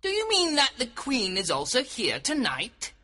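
A man reading a storybook, voicing a fun-loving male sprite servant with a raised, lively voice. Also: normal speed, a little hiss or hum, exaggerated voice. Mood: surprised. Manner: lively, anxious, higher than his own voice.

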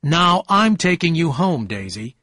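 A jealous, domineering husband from a storybook, acted out by a male narrator. He is loud and accusing, with a husky edge and big, forceful swings in pitch. The recording is close and dry. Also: sing-song, measured pace, dry recording, heavily acted. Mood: scornful, forceful. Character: bad-tempered, domineering jealous husband, adult man.

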